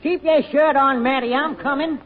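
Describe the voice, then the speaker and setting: A man's voice: extremely high pitch, steady tone, moderate pace. An actor playing a spirited, affectionate American small-town grandfather and druggist in an old-time radio drama, with homespun turns of phrase. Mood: cheerful. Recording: tinny vintage radio recording with music.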